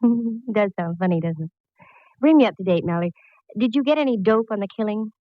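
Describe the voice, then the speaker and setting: An actress playing a wry, quick-witted female private eye in a 1940s radio detective drama, breezy and wisecracking. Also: mid-range voice, lively intonation, no echo, lightly acted. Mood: brisk, curious. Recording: noisy, dull vintage radio recording.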